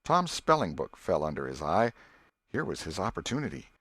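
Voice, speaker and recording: A man's voice: low-pitched, sing-song, moderate pace, a bit bright, breathy edge, no echo. A man reading scripted literary narration as an audiobook narrator.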